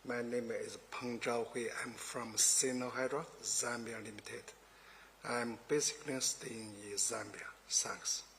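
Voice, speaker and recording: A man's voice: medium pitch, fairly even intonation, measured pace, fairly bright tone, slight background noise. A man from a company introducing himself briefly, slightly flustered. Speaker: company staff. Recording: reverberant meeting hall.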